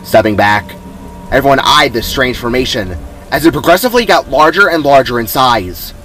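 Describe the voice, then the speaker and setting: A man's voice: medium pitch, animated, normal speed, sharp tone, faint music behind. A man reading dramatic scripted story narration as its author.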